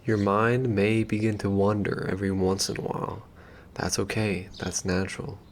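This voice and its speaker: A man leading a guided meditation in a gentle, unhurried, soothing way, leaving long pauses between phrases. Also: on the low side, level tone. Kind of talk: calm guided meditation, slow and soothing instruction.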